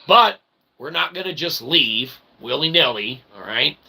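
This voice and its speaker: A man's voice: mid-range voice, expressive, measured pace, vocal fry, noisy background. A man hosting a show in a rambling, jokey, theatrical way with mock-formal wordy phrasing. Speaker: show host and author. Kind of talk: rambling show sign-off addressed to audience.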